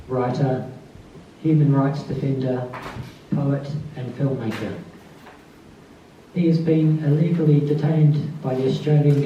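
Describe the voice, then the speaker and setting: A soft-spoken man who gives a tribute introduction at a literary event and then reads a short piece aloud. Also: mid-range voice, a little hiss or hum. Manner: soft-spoken, calls his voice mousy. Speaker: author giving a tribute reading. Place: festival event space. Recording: distant, dull, very echoey room.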